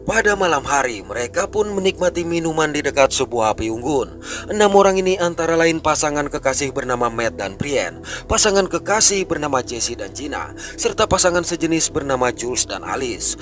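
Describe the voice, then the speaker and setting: A man narrating a film recap in a brisk, scripted storytelling way. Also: fairly high pitch, very animated, a bit bright, faint music behind. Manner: brisk storytelling, rising and falling delivery.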